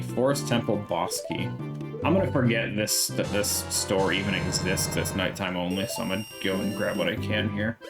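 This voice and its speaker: Low-voiced dude rambling through a game stream, cusses a bit, talks to chat, music playing behind. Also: male voice. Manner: chatty, thinks aloud, answers chat, swears casually. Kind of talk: casual gameplay commentary.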